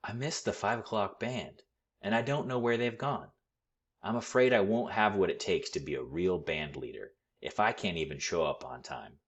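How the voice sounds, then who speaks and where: Guy doing a little kid trombone player in a storybook, earnest n plaintive, voice pushed up higher. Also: moderate pace, lightly acted. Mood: dejected. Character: earnest little boy trombonist, worried, aspiring band leader. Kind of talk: storybook character voice.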